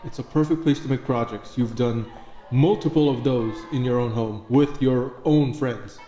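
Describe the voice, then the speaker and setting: A man's voice: mid-range voice, moderate pace, dark tone, echoey room, in a large hall, highs cut off, natural voice. A man voicing the frantic inner thoughts of a shy male student, exasperated and sarcastic, in an audio roleplay. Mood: sarcastic panic. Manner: exasperated, outburst-prone, sarcastic.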